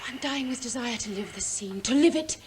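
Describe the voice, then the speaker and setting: A woman's voice: fairly high pitch, expressive, brisk, a little hiss or hum, natural voice. An actress playing an impetuous, scornful, defiant young woman in a radio drama, with intense, declamatory delivery. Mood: impatient. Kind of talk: theatrical radio drama acting.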